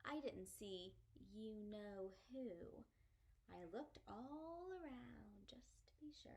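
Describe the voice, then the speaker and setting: A woman reading a children's story aloud as an expressive storyteller. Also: medium pitch. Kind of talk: expressive children's book narration.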